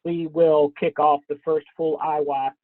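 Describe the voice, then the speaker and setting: A man presenting slides in a webinar, scratchy from a cold, speaking haltingly with frequent ums and throat clearing. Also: fairly high pitch, little pitch movement, no room sound. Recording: thin phone-like webinar audio, dry.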